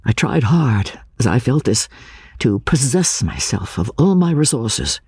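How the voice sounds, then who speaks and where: A man narrating classic literature dramatically, in a theatrical and measured manner. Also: mid-range voice, sing-song, darkish tone, slightly rough, no room sound. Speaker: audiobook narrator.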